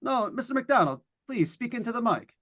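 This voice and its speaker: Man acting a high-pitched, animated radio showman. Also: moderate pace, exaggerated voice. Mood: joking, nervy. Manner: chatty, folksy showman delivery. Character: breezy, joking male radio host hunting ghosts. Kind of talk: 1940s live radio drama acting.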